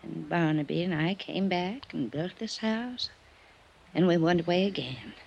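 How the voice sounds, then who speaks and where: Frail older woman, slow and gravelly. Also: on the low side, darkish tone, exaggerated voice. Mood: wistful, fond. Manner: wistful, lingering, sentimental delivery. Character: frail, weary, wistful older woman reminiscing tenderly. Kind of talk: old-time radio drama acting. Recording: dull, noisy old radio recording.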